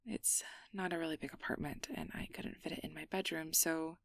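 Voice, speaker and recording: A woman acts out a flustered young changeling woman in an intimate ASMR roleplay. Her voice sits fairly low with plenty of gravelly fry, and she speaks slowly and close in a dry space. She stammers and hedges, guarded on the surface and tender underneath. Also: fairly even intonation, no room sound. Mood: awkward, casual. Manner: hesitant, flustered, stammering, downplaying.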